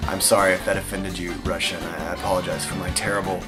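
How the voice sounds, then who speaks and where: A man's voice: deep voice, little pitch movement, fast talker, over music. Chatty young Texan man. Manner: enthusiastic, chatty, frequent fillers. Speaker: airsoft channel host answering viewer questions. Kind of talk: casual Q&A vlog.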